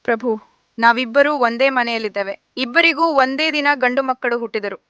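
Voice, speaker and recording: A woman's voice: sits high, moderate pace, sharp tone, no echo. A woman voicing a scheming young woman who insists, accusingly, that a baby is hers.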